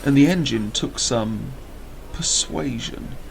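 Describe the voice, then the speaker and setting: A calm, literal-minded ship's engineer in a sci-fi audio drama. His mid-pitched voice has a gravelly creak and a precise, deadpan delivery. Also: takes it slowly, a little hiss or hum. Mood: calm, dry. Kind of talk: science-fiction audio drama acting.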